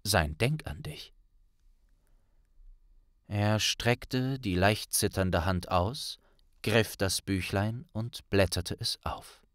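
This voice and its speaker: A man's voice: low-pitched, a bit bright, no room sound, studio quality. A man narrating a fantasy audiobook in a dramatic, scripted storytelling style.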